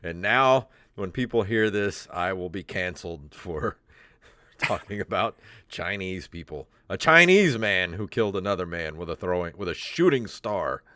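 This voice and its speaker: A middle-aged American man with a fairly low voice chats as a podcast host. He sounds amused and self-deprecating, and the sound is dry and close. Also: lively intonation, moderate pace, pushed and bright, dry recording. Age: 50s. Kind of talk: casual joking chat, reading aloud from old papers.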